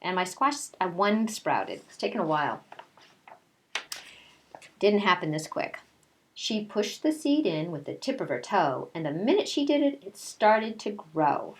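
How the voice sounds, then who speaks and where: A woman with a fairly low voice speaks as a kindergarten teacher at story time. Her delivery is conversational and aimed straight at young children, in the style of a picture-book read-aloud mixed with casual chat. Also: big pitch swings, a little slow. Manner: conversational, addresses children directly with questions.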